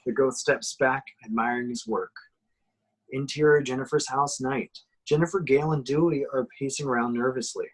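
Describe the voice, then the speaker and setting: A man's voice: mid-range voice, normal speed, husky, low-quality recording. A man reading out screenplay action as narrator in an amateur horror table reading.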